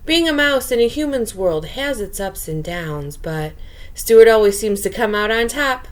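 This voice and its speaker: Higher-voiced woman reading a bedtime story. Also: measured pace. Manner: expressive, addresses young listeners. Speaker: storyteller reading a children's book aloud.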